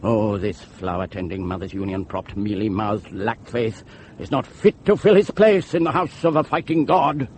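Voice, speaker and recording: An actor playing a fiery, defiant pamphleteer, declaiming with scorn and suspicion in a theatrical drama style. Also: male voice, on the low side, normal speed, darkish tone, slight background noise, natural voice. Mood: bitter, scornful. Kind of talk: theatrical dramatic monologue and dialogue.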